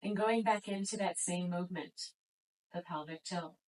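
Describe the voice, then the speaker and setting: A woman instructor talks a class through floor stretches and breathing. Her voice sits a little low, and she gives each cue slowly and gently in a soothing way. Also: low-quality recording. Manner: slow, gentle, soothing cues. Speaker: yoga instructor. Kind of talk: guided exercise instruction.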